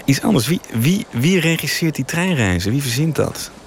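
An actor playing a jaded, boastful TV news director who grumbles casually in a mock radio interview. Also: male voice, a little high, very animated, moderate pace, slight background noise. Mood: grumbling, disdainful.

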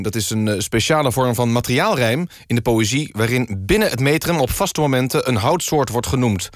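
Male satirical radio host, deadpan and mock-formal, medium pitch, dry studio sound. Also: dry recording. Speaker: radio presenter.

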